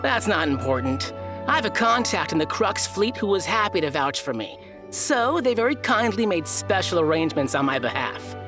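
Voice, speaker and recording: A woman's voice: fairly low pitch, sing-song, moderate pace, over music. A woman voicing a smug, boastful tournament contestant in a video game who is sure of her own perfection. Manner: boastful, self-satisfied.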